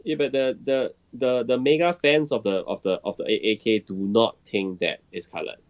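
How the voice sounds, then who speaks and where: A man on a podcast panel explaining casually and haltingly, repeating words as he goes. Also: medium pitch, fairly even intonation, no echo.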